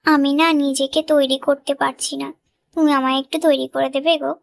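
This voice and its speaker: A woman's voice: high voice, flat delivery, brisk, creaky. A woman voicing a kind, humble young village girl in a fairy-tale cartoon, speaking earnestly. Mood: pleading, grateful.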